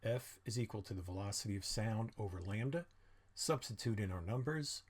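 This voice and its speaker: A man's voice: on the low side, breathy edge. A man narrating a lesson as a teacher, explaining step by step with informal asides and questions he answers himself. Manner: explanatory, poses questions then answers them. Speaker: physics teacher.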